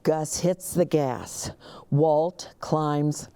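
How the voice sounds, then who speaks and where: A woman reading a children's story aloud in an expressive, dramatic way, talking directly to young listeners. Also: sits low, drawn-out words, clean tone, no echo. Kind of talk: expressive picture-book read-aloud.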